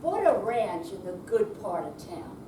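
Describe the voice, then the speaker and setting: A woman performing a readers theater piece, delivering short narrative phrases in a measured way with pauses. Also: medium pitch, very animated. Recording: roomy hall, distant mic, background noise.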